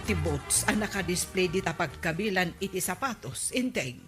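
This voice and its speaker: A woman's voice: fairly low pitch, lively intonation, moderate pace, natural voice. A woman playing an inquisitive, nagging village woman with an Ilocano accent in a radio comedy drama, questioning in a matter-of-fact way. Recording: faint music under voice. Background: faint music.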